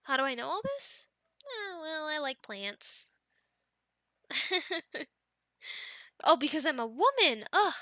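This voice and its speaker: Girl doing a sweet teasing gf, high bright voice, giggly, whispers a lot, slow, close dry mic. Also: very animated, no echo, full character voice. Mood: playful. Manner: soft and giggly, drifting into whispers. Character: affectionate teasing young girlfriend gardening. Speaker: ASMR roleplay performer. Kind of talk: ASMR roleplay.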